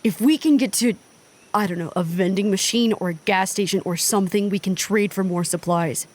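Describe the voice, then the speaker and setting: Actress doing stubborn driven woman pushing her tired group on, snappy and impatient, medium pitch, audio drama. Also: fairly quick, darkish tone, natural voice. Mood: stubborn, pressing. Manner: insistent, clipped, impatient. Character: driven, stubborn woman leading weary travelers, desperate and curt. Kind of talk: naturalistic audio drama acting.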